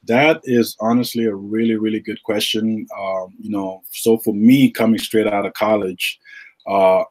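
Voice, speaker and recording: A middle-aged man from Uganda, a retired basketball player, answering podcast questions in a relaxed, halting way with many fillers. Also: fairly low pitch. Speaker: guest, retired pro basketball player and agent. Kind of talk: podcast interview.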